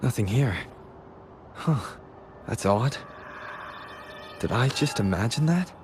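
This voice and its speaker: An actor playing a calm, observant young adventurer in a video game, musing to himself in short phrases. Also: male voice, mid-range voice, slow pace, background noise. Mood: focused, puzzled. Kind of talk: English video game voice acting. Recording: music behind the voice.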